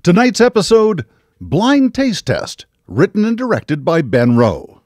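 A man's voice: high-pitched, huge pitch swings, a little slow, a little mellow, heavily acted. Man as an ominous, sweeping radio anthology announcer. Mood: ominous, theatrical. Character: ominous male narrator of an eerie suburban anthology. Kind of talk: dramatic scripted radio anthology introduction. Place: radio studio, live broadcast. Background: music.

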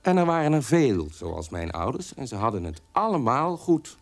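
Bitter, defiant son, animated radio monologue. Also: male voice, medium pitch, moderate pace, soft background music. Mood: recounting. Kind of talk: Dutch radio drama monologue.

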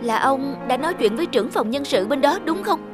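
Woman acting a high-voiced, stubborn young daughter. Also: lively intonation, a little fast, music in the background. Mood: cold, indignant. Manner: emotional, insistent. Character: proud, hurt, stubborn young adult daughter. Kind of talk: animated drama dub.

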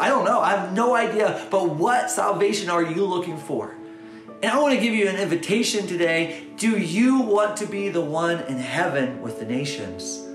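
Pastor, 30s, earnest sermon to camera with soft prayer parts; echoey room, light music bed. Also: male voice, high-pitched. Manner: earnest, animated, swings between loud urging and soft prayer. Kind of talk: short video sermon to camera. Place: bright home room with windows, indoors.

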